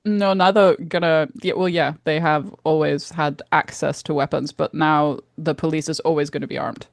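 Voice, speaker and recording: A woman's voice: sits low, steady tone, normal speed, vocal fry, dry recording. Casual, inquisitive woman podcaster in dry sound. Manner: informal, inquisitive, laughs readily. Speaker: podcast co-host. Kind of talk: casual chat.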